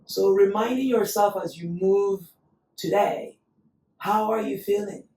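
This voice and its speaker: A woman teaching a yoga class, calmly cueing breath and poses, her voice still recovering after being lost. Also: mid-range voice, unhurried. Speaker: yoga instructor. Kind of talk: guided yoga class instruction. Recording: echoey room, voice from a distance.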